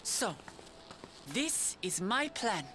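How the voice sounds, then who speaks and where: A woman's voice: a little high, sing-song, drawn-out words, background noise, full character voice. An actress playing a young, idealistic lion-woman warrior princess in a video game, speaking earnestly and from the heart. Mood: reflective, sincere. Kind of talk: voiced game cutscene dialogue.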